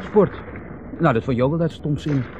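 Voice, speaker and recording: A man's voice: a little high, brisk, lightly acted. An actor playing an earnest, sceptical younger brother in his thirties in a Dutch radio drama, questioning and exasperated. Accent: Netherlands Dutch. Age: 30s. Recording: noisy, dull vintage radio recording.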